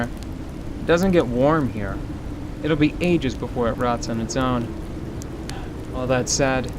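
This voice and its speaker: A man playing a gruff, self-doubting adventurer and hunter in a fantasy audio drama, speaking in a hesitant, earthy way. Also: medium pitch, background noise, lightly acted. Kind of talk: fantasy radio drama acting. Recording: steady rain and stream ambience behind.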